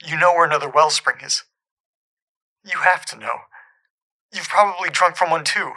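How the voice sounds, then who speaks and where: Tense, bright-voiced, desperate caller. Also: male voice, fairly high pitch. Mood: pleading, insistent. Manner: earnest, halting, insistent. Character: regretful, pleading male caller desperate for a second chance. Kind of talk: scripted audio drama, naturalistic acting.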